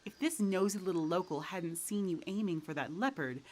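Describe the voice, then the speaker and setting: A hard-bitten female poacher, played by a woman in an audio roleplay. Her voice sits on the low side, curt and sardonic, with an irritable, threatening edge. Faint noise lies under the recording. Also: a little fast, a little mellow, natural voice. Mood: irritated.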